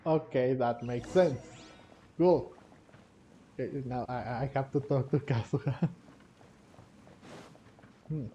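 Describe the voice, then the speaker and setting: Slow, very mellow man commenting on gameplay. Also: mid-range voice, slight background noise. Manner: hesitant, musing aloud, repeats words. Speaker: gaming video host. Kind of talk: casual game playthrough commentary.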